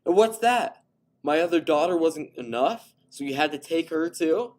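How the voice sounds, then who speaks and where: A man voicing a furious, threatening middle-aged father who shouts in a strained, raised voice. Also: a little high, animated, normal speed, pitched above the performer's own voice, natural voice. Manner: shouting, menacing.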